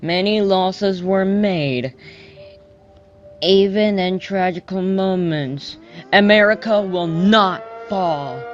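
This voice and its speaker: A woman's voice: mid-range voice, slow pace, faint music behind, lightly acted. A woman playing a defiant female president giving a dramatic emergency address to a doomed nation. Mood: grave, defiant. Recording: dull lo-fi audio.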